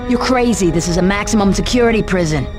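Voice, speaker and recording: Game detective, female actor: medium-pitched, weary, incredulous woman who challenges every claim, music underneath. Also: quick pace, natural voice. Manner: incredulous, pointed. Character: weary, sceptical female police officer, sharp and challenging.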